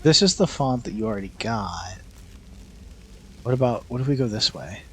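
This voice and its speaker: A man with a deep, monotone voice, chatting casually while streaming a game. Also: normal speed, a little hiss or hum. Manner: monotone, dry. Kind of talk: casual gameplay chat.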